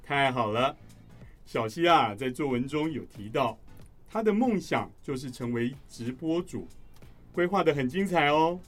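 A man's voice: mid-range voice, animated, measured pace, soft background music. A man playing a cheerful schoolteacher in a radio drama, speaking encouragingly to his class.

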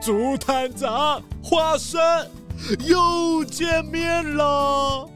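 A gushing, showy animal fellow for a children's radio play. The voice is extremely high with slow, drawn-out delivery over faint music. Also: male voice, heavily acted. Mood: jolly. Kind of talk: children's audio drama acting.

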